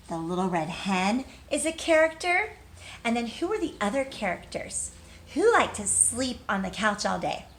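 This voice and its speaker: A woman's voice: mid-range voice, a bit bright. Female early-years teacher, question-and-answer storytime lesson, simple encouraging delivery, some background noise. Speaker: teacher reading aloud to young children. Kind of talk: children's read-aloud lesson with questions and answers.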